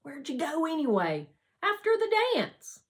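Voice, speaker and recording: A woman's voice: sits high, huge pitch swings, unhurried, voice pushed up, exaggerated voice. A woman voicing a cheerful, confident, chatty schoolboy in a storybook, with emphatic, exuberant delivery. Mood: curious, knowing.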